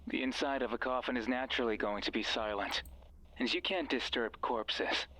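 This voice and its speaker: A man plays a menacing male voice from a horror visual novel, speaking through the night as a false friend. He is mid-pitched, deliberate and coaxing. Every phrase is measured, ominous and manipulative. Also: bright, forceful tone, poor audio. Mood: eerie, cruel musing.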